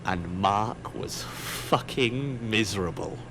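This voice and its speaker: A man's voice: on the low side, takes it slowly, background noise. A man in an audio drama playing an aloof, wry, digressive otherworldly narrator who muses on mortals. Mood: detached, condescending. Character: aloof, musing otherworldly narrator observing mortals, easily distracted.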